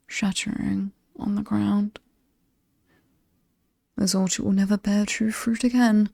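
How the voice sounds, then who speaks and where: Woman playing a slow, whispery British demon seductress. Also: mid-range voice, mellow, no echo, clean studio sound. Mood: quietly ominous. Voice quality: breathy, half-whispered. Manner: seductive, teasing, theatrically ominous, lingering on words. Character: alluring, sinister ageless demon woman showing off haunted mansion. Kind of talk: ASMR horror roleplay.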